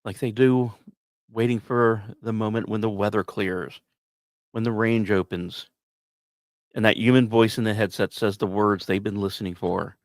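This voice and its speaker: A man hosting a podcast, reading scripted narration with casual asides in a storyteller's manner, with dramatic pauses and emphasis. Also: on the low side, fairly even intonation, dry recording, clean studio sound. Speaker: podcast host.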